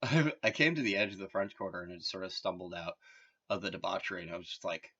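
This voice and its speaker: A man's voice: low-pitched, quick pace, sharp tone, husky, highs cut off. A man from Massachusetts talking in a rambling, casual monologue, full of filler words and wry asides. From Massachusetts, USA. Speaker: vlogger.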